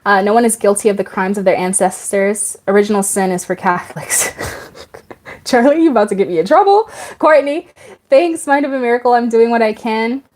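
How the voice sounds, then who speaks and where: A woman's voice: on the high side, a little fast, darkish tone. A woman of about twenty-five hosting a casual live stream, chatting, laughing often and reading out viewer comments.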